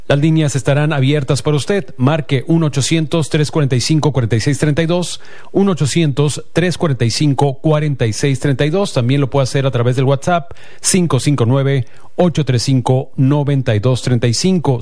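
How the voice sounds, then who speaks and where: A man hosting a call-in radio program, reading the intro and then interviewing a guest in a measured announcer's manner. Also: mid-range voice, a little mellow. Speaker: radio show host.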